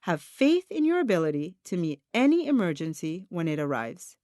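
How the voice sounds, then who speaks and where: A woman reading a self-help audiobook as scripted narration, in a measured, deliberate, instructive manner. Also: fairly low pitch, sing-song, mellow, no room sound, clean studio sound. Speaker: audiobook reader.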